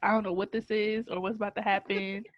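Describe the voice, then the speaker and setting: A young woman co-hosting a podcast, telling a story in a dry, deadpan way. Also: mid-range voice, fast, no room sound. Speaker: podcast co-host. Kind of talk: casual storytelling chat. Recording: dull, dry podcast audio.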